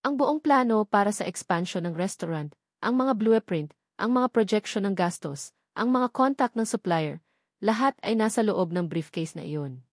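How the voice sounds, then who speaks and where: A woman narrates a dramatic story in a scripted storytelling style. Her voice sits at a middle pitch, and the sound is dry, with hardly any room in it. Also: no echo.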